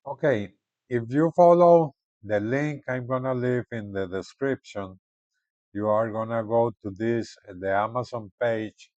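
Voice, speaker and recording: A man giving casual unboxing and product commentary in an informal, halting way, often repeating words. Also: medium pitch, dry recording. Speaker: product reviewer.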